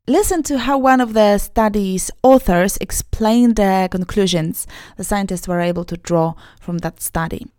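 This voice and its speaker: A woman's voice: medium pitch, dark tone, no echo, clean studio sound. A woman from Poland with a Polish accent, hosting an English-learning podcast in an upbeat, emphatic teaching manner. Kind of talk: podcast lesson, talking to learners.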